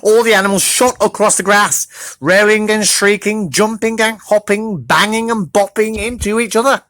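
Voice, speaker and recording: A storybook reader narrating for children in an animated style. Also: mid-range voice, moderate pace, no room sound.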